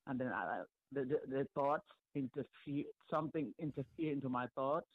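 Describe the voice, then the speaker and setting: A man with an Arabic accent calling in to a radio talk show, speaking haltingly and repeating himself as he searches for words. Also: mid-range voice, husky, no echo. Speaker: caller. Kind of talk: phone-in call. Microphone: phone. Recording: muffled phone line.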